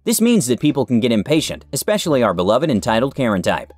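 A man's voice: mid-range voice, big pitch swings, fast talker, a little mellow, dry recording. A man narrating forum stories in a scripted reading style, with a close studio mic.

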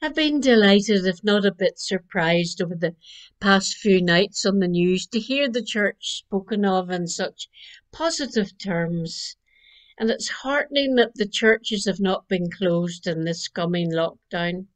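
Slow-spoken older woman minister. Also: medium pitch, expressive. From Northern Ireland. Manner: slow, gentle preaching cadence. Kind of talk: Christmas Eve reflection. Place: living room at home. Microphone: webcam at desk distance.

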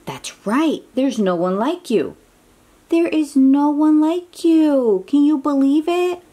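A woman reads a children's book aloud with lively swings in pitch and an unhurried pace. Her voice is soft-edged and mellow, and she speaks encouragingly, as if chatting directly with a small child. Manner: encouraging, exclaiming, asks the listener questions. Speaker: children's picture book reader. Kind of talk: read-aloud storytime for young children, with chatty asides.

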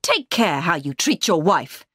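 A woman voicing a determined, protective and outspoken gentlewoman heroine in an audiobook, forthright and earnest. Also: mid-range voice, very animated, a little slow, husky, no echo, studio quality. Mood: furious.

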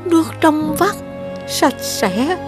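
Casting: plaintive old village woman, cartoon fairy tale, southern Vietnamese accent, high pitch, unhurried. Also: lively intonation, music in the background. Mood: weary, warning. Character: sorrowful elderly villager whose grandchildren were eaten. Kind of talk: animated fairy-tale dialogue.

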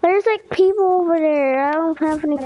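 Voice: very high-pitched, brisk, muffled recording, a crowd in the background. A video game player chatting casually with teammates over a game voice chat.

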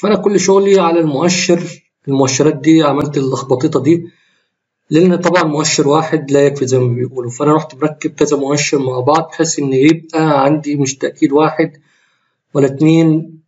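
A man with an Egyptian Arabic accent, voice a little tired and hoarse, talking through his trades in a screen-recorded walkthrough, often breaking off and correcting himself. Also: fairly high pitch, fast talker, a little mellow, highs cut off. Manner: rambling, self-correcting, explains while trading live. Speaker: trading tutorial host.

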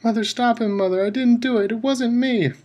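A terrified teenage boy, voiced by a male reader, pushed high and shrill. He pleads in desperate, breaking cries. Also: a little fast, a little mellow. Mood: desperate pleading.

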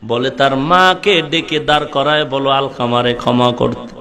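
A man with a Bangladeshi accent preaching an Islamic sermon, impassioned and pleading, moving between drawn-out chanted cries and plainer storytelling. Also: mid-range voice, pushed and bright. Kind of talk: emotive Bengali waz sermon with chanted, sung-out lines.

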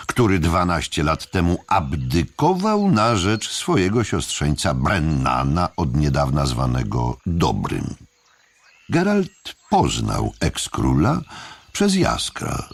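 A male audiobook narrator with a deep, gravelly voice reads literary prose dramatically. His phrasing is deliberate, full of pauses, and his pitch swings very widely.

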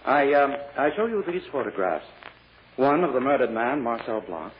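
A man acts a methodical prosecutor in a radio drama. His mid-pitched voice swings widely as he questions deliberately and courteously, with halting pauses. The old recording is muffled and noisy. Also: big pitch swings, close to an everyday voice. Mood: formal, deliberate. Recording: muffled vintage radio recording with clear noise.

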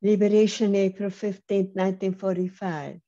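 An older woman giving a measured, emphatic personal testimony over a video call, speaking slowly with pauses. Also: medium pitch, flat delivery, warm tone. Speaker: Holocaust survivor speaker. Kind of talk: slow personal testimony talk. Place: home room on video call. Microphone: webcam mic on video call. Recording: slightly dull lo-fi video call audio.

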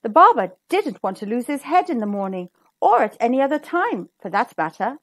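Woman, children's read-aloud, high voice, highly varied pitch, dramatic emphasis. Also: sing-song, a little fast, no echo. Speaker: storybook reader.

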